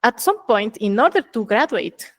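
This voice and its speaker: Ecuadorian woman explaining with careful pauses. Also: on the high side, big pitch swings. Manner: thoughtful, explains step by step with many "uh" pauses. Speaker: geophysicist guest. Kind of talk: casual interview.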